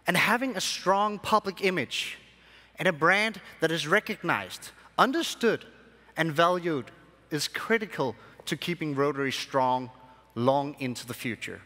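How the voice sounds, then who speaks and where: A young man, born in Sri Lanka and raised in Denmark, giving a keynote speech to a convention audience from a large hall stage with measured, emphatic phrasing. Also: fairly high pitch, pushed and bright. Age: young adult. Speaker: conference speaker. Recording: long hall reverb.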